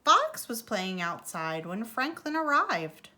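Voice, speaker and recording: A woman preschool teacher reading a children's storybook aloud in an expressive, deliberate way. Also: a little high. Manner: animated, deliberate delivery for young children.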